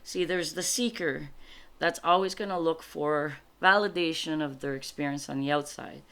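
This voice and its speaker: Low-voiced woman, native French speaker, halting delivery. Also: fairly bright tone, clean tone. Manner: halting, reflective, explains in short phrases. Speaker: spiritual card reader. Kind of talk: informal spiritual guidance, reading cards aloud and commenting.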